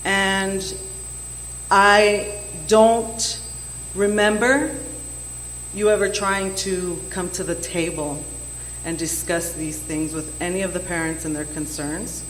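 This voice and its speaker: A woman's voice: fairly low pitch, steady tone, a bit bright. Hesitant woman questioner in an echoey hall. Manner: measured, hesitant, with frequent ums. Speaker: audience member asking a question. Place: banquet room at a community meeting. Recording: echoey hall with faint hum.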